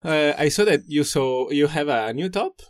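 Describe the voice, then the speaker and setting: A man's voice: on the high side, expressive, no room sound, clean studio sound. Upbeat young man co-hosting. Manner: upbeat, halting with fillers. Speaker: podcast co-host. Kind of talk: casual chat.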